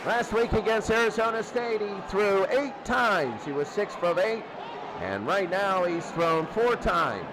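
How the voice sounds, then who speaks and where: A high-voiced man calls a football game for radio. He speaks in short bursts and restates each play, while a loud crowd roars behind him and heavy noise covers the recording. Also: lively intonation, unhurried. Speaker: play-by-play sports announcer. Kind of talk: live American football radio commentary. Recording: very noisy, loud stadium crowd bed. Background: crowd roar.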